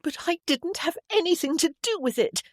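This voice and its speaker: Narrator doing a high, petulant, spoiled young woman. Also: lively intonation, moderate pace, no room sound, studio quality. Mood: defensive whining.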